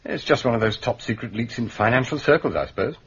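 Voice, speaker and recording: An actor playing an urbane gentleman detective with an educated English accent in a radio drama, musing and dryly understated. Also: mid-range voice, expressive, fast talker, slightly rough. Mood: explaining, thoughtful. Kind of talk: British radio drama acting. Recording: dull, muffled radio recording.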